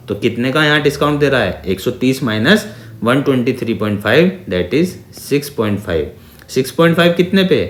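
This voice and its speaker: Echoey Indian man teaching maths step by step. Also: mid-range voice. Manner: didactic, with frequent check-in questions and repeated phrases; mixes Hindi with English terms. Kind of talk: exam-prep lesson, working through problems step by step. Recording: echoey, reverberant room sound.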